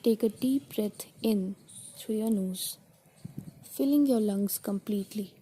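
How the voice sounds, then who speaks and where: A woman guiding a meditation in a soothing, gentle, deliberate way, with a soft, breathy voice that trails toward a whisper. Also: medium pitch. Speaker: meditation guide. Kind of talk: guided meditation narration.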